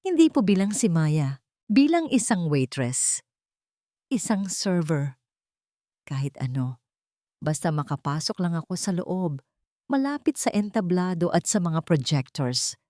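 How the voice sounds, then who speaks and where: A woman's voice: fairly low pitch, big pitch swings, measured pace, long pauses, dark tone, no room sound, clean studio sound. Woman playing a polite, determined young housemaid.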